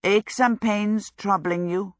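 A woman's voice: medium pitch, steady tone, slow, no room sound, clean studio sound, voice pushed up, lightly acted. A woman voicing a vain, dashing young male wizard with an airy, self-satisfied manner, in a storybook reading. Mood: teasing.

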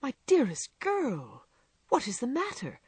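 An anxious young husband, tender but flustered, hurrying his words as he coaxes his wife, voiced by a woman narrating. Her breathy tone rises and falls widely, recorded close and dry. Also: on the low side, sing-song, moderate pace, a bit bright, no echo, heavily acted.

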